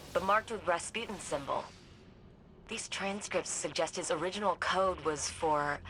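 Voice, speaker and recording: A woman playing an earnest, thoughtful sci-fi ally in a video game, with a measured, dramatic and reflective delivery. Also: mid-range voice, fairly bright tone, a little hiss or hum, poor audio. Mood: curious, focused. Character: earnest, thoughtful female sci-fi ally guiding a soldier by comms. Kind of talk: scripted video game dialogue and storytelling. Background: prominent music.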